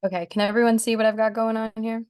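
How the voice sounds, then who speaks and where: A woman's voice: a little high, little pitch movement, fast, a little mellow, clean tone. A young woman in her twenties, born in Mexico, giving an encouraging, casual presentation on a video call with fillers. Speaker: PA student speaker. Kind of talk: online workshop presentation.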